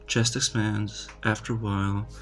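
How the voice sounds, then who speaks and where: A man speaking English as a second language, calmly narrating his meditation in a slow, hesitant, soft-spoken way with many fillers, in a quiet room at night. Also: on the low side, soft background music. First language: not English. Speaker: meditation vlogger. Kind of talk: calm narrated live meditation.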